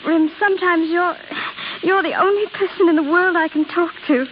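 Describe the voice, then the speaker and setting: High-voiced wistful young American woman, tinny recording. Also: fairly even intonation, moderate pace. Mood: emotional, hesitant. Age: young adult. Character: wistful young office girl, tender and nervously coy. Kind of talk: 1930s American radio drama acting. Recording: tinny, hissy old radio recording.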